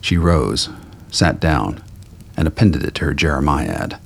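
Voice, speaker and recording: A man reading scripted dramatic fiction as a narrator, with a gravelly, husky voice. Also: very deep voice, big pitch swings, measured pace.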